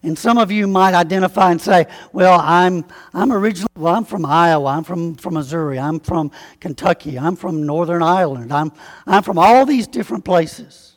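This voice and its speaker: A man from Alabama with a Southern accent, preaching a sermon in a folksy, conversational way and slowing down to stress key words. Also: high-pitched. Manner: folksy, conversational preaching with slow emphatic spelling-out. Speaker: pastor. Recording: long hall reverb.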